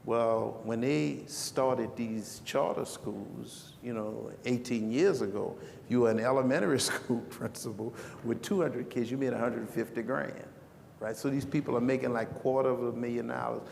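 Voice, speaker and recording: A man's voice: medium pitch, normal speed, creaky, darkish tone. A man answering questions on a conference panel, conversational, often restarting his sentences. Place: conference breakout room. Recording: echoey hall with background noise.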